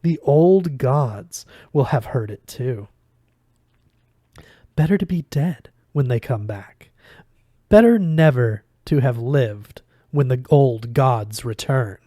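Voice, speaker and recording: A man's voice: medium pitch, animated, mellow, dry recording, studio quality. Man voicing a condescending fox god, French accent. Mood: condescending, menacing. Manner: measured, condescending, formal phrasing. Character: cruel, dismissive fox god with a human body.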